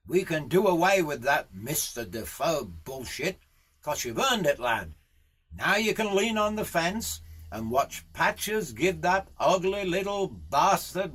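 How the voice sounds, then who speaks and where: Man putting on a loud, high-pitched bush boss. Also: breathy edge, full character voice. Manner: loud, barking, swearing, blunt. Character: gruff, foul-mouthed Australian horse-riding boss, rough and goading.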